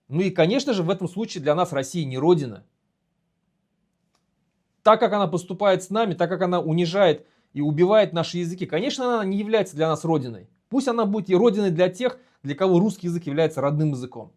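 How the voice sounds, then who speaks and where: A man's voice: sits high, lively intonation, darkish tone. Indignant man in a solo commentary. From Bashkortostan. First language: Bashkir. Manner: earnest, indignant, halting with fillers. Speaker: video host and activist.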